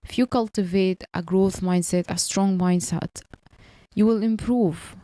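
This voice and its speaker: A woman's voice: mid-range voice, fairly even intonation, unhurried, mellow, no echo. A woman in her early thirties, a non-native English speaker, giving an earnest motivational talk and repeating her points. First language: not English. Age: early 30s. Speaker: motivational vlogger.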